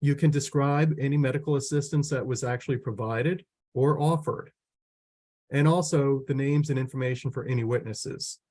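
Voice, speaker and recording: A man with a hoarse voice delivers a training webinar, rushing through his material. He restarts his sentences and fills gaps with "uh" and "um." The sound comes through a video call. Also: medium pitch, steady tone, darkish tone. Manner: quick, with frequent restarts and fillers. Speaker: extension educator. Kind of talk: webinar presentation.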